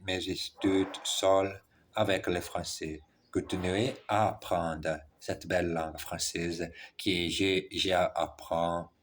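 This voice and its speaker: Slow, husky man. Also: low voice. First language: Portuguese. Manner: halting, careful, frequent self-corrections. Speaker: French learner. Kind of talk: reading French practice aloud.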